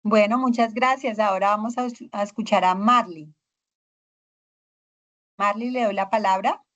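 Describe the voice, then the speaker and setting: Teacher on a muffled video call. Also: female voice, mid-range voice, fairly even intonation, unhurried, a bit bright. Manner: prompting students by name, questioning. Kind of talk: online class discussion. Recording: dull lo-fi call audio.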